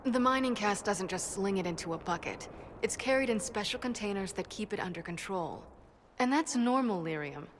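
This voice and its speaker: A woman's voice: medium pitch, expressive, moderate pace, lightly acted. A woman playing a brash, defensive female dwarf inventor in a video game, blunt and wry. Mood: impatient, matter-of-fact. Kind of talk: video game cutscene acting. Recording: echoey cavern reverb, background noise.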